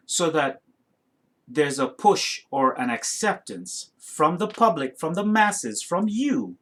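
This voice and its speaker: A man's voice: a little high, a bit bright. Indignant man with a light Trinidadian lilt. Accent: North American with some Trinidadian. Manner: emphatic, indignant, rhetorical tag questions. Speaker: reaction video host. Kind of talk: opinionated reaction commentary to camera.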